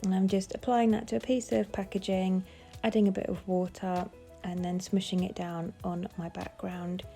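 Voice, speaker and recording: A woman with a cold, sounding bunged up, calmly explaining each step of her craft project in a casual tutorial voice-over. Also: medium pitch, normal speed, faint music behind. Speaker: papercraft designer.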